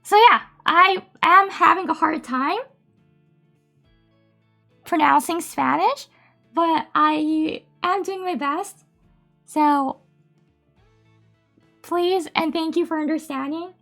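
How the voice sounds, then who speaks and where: A woman with a high voice and a gravelly edge chats casually on a live stream, giggling often and filling pauses with "um" and "like". She is a native Japanese speaker. Faint music plays behind her. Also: bright, forceful tone. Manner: chatty, giggly, many fillers.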